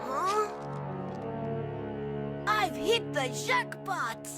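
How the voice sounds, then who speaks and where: A woman voicing a cheeky, scheming little boy hustler in an animated series, carefree in manner. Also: extremely high pitch, very slow, exaggerated voice. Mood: gleeful, scheming. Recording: loud music bed under dialogue.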